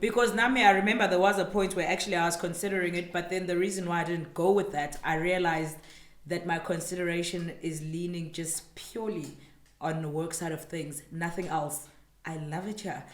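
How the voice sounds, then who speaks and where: A woman's voice: lowish voice, roomy sound. A South African woman from Durban, hosting a podcast and chatting casually and laughing, slipping into Zulu. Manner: lively, quick to laugh, mixes in Zulu. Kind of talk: casual chat.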